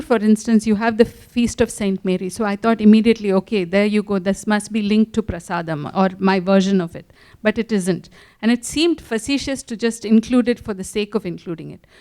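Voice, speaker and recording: Woman author chatting, mid pitch voice, lots of um and restarts. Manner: animated, many restarts and fillers. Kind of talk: conversational interview.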